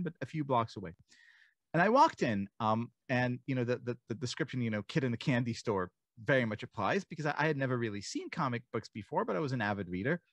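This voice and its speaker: A middle-aged man from Israel, speaking from a home study on a video call, telling stories thoughtfully with many restarts and lively quoted voices. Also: mid-range voice, no echo. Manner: reflective storyteller, many restarts and fillers, animated quoting. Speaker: author and guest speaker. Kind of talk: online lecture conversation. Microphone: desk mic on a video call.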